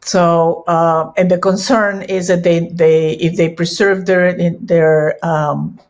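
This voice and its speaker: A woman from Peru with a Peruvian Spanish accent, a native Spanish speaker, giving a conference presentation in halting speech full of restarts and fillers. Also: lowish voice, level tone. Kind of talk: presentation talk on early childhood bilingualism.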